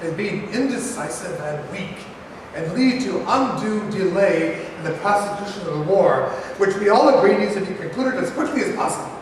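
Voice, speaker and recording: A man's voice: high-pitched, expressive, moderate pace. A man playing a formal, firm government adviser in a staged play reading. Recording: echoey hall, distant mic, noisy.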